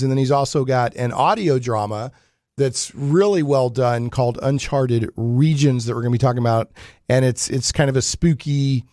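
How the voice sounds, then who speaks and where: A man's voice: medium pitch, darkish tone, dry recording, clean studio sound. A man hosting a casual geek talk radio show in a rambling, conversational way.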